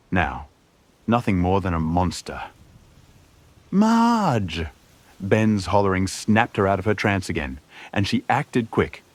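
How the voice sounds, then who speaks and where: A man reading scripted fantasy narration as a storyteller, dramatic and suspenseful. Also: low-pitched.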